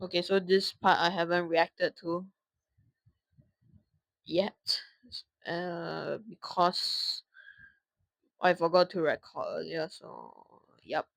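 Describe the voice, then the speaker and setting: A woman's voice: fairly low pitch, fairly even intonation, slow pace, creaky, long pauses, no room sound. A young woman from Singapore giving casual reaction commentary, informally talking back to the video she is watching.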